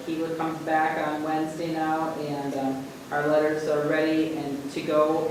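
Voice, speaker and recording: A man giving a school principal's report at a board meeting, halting with many fillers and restarts. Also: fairly high pitch. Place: large meeting room. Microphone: distant room mic. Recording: echoey room from far off, noisy.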